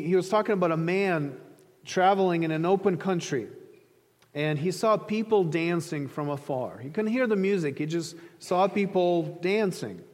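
A man's voice: fairly high pitch, a little mellow. A man from Ukraine preaching a sermon as a pastor, in a conversational storytelling manner. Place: large reverberant room, preaching to an audience. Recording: hall reverb.

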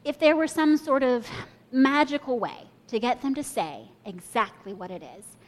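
A woman's voice: a little high, expressive, moderate pace. A young woman preaching a sermon, telling a personal story and inviting listeners to imagine along with her. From Kenya and the US.

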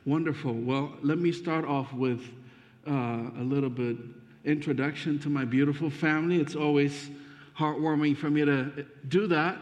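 Ethiopian-born preacher in an echoing church. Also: male voice, on the high side, steady tone, moderate pace, darkish tone. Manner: friendly, hesitant delivery with fillers. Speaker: guest preacher. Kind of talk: sermon introduction. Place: church sanctuary. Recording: dull muffled sound with long reverb.

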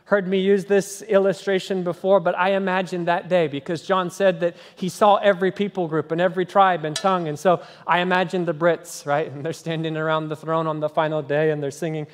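Male pastor with a high voice, preaching animatedly in a church with long reverb. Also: brisk, hardly any pauses. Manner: animated preaching, tag questions and sung snippets. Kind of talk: sermon. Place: church sanctuary.

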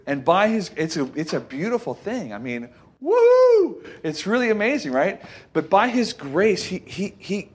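A man giving an informal, conversational sermon as a preacher, folksy and halting, repeating phrases and checking with his listeners for agreement. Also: high-pitched, expressive, breathy edge. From Costa Rica.

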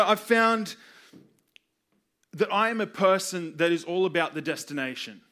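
A man preaching a sermon with personal stories, earnest and emphatic, using repetition and deliberate pauses. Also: sits high, a bit bright. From Australia. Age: 20s. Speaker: youth pastor preaching.

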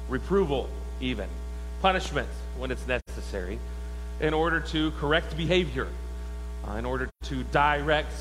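A man's voice: medium pitch, a bit bright. A man preaching a conversational sermon in a church, with wry asides and questions to the congregation. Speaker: pastor. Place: church sanctuary. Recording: long hall reverb with faint mains hum.

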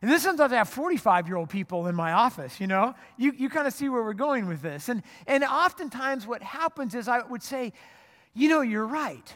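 A pastor delivers a sermon in a plain, conversational way, earnest and direct. The voice sits in a middle pitch and often stumbles over a repeated word before going on. It rings through a church sanctuary with a long reverb. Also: very animated, brisk, few pauses, a bit bright. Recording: long reverb of a large hall.

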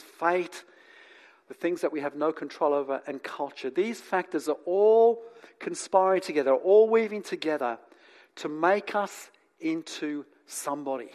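Man, notably high-pitched voice, conversational sermon, teacherly delivery, deliberate pauses, asides to listeners. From born in England, grew up in New Zealand, lives in Australia. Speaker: preacher.

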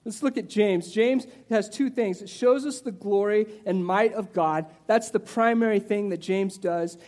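A man in his forties preaching a sermon in a conversational, animated way, with asides to the listeners and some restarts. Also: a little slow. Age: 40s. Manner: animated, conversational preaching with rises in pitch and volume. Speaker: pastor.

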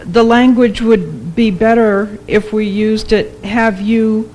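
A woman's voice: mid-range voice, fairly even intonation, slow pace, darkish tone, slight background noise, low-quality recording. Earnest woman thinking aloud. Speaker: church discussion group member. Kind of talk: casual group discussion.

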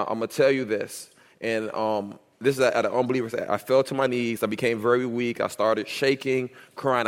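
A man's voice: fairly low pitch, clean tone. Earnest man testifying in a reverberant church. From Miami, United States. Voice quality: speech impediment, stutters when nervous. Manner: earnest, repetitive, emphatic storytelling. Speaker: youth pastor being ordained. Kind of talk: personal testimony and doctrinal answers in church. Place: church sanctuary.

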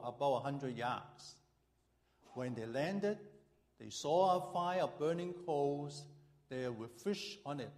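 A man's voice: on the high side, darkish tone. A middle-aged male pastor from Hong Kong preaching in a church, reading scripture and then telling a humorous personal story with frequent fillers.

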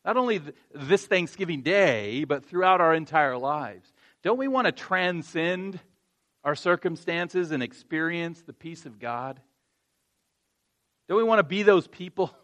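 A man's voice: a little high, fairly bright tone. Earnest man preaching a sermon. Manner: conversational with self-deprecating laughs, rising to earnest emphasis. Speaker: pastor.

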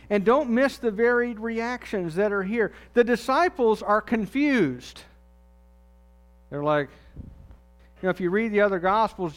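A man with a notably high voice delivers a sermon in an easy, conversational manner. He often sinks low and quiet to land a key phrase. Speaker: pastor.

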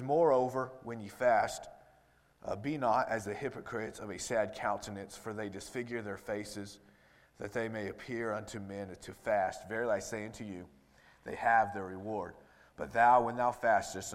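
A man in his thirties with a rough voice, preaching a sermon and reading scripture, talking conversationally with frequent ums and restarts. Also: fairly low pitch, big-room sound. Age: 30s.

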